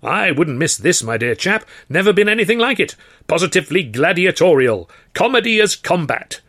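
A man voicing a hearty, theatrical Edwardian comedian with a booming, pompous delivery and flowery, courteous phrasing. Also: very animated, moderate pace, fairly bright tone.

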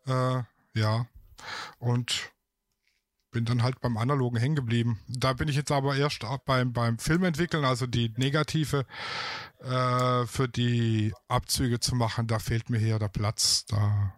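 A man's voice: mid-range voice, fairly even intonation, measured pace, no room sound. A man from Baden-Württemberg with a light Swabian accent, a photographer chatting casually and rambling a little. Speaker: photographer guest. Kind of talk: casual podcast chat.

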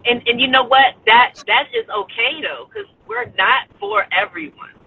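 High-voiced man on a tinny phone line. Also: animated, normal speed, breathy edge. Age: 40s. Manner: upbeat, encouraging, laughs often. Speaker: podcast guest. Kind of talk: casual chat. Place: in a car on the street. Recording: tinny phone line with faint road noise.

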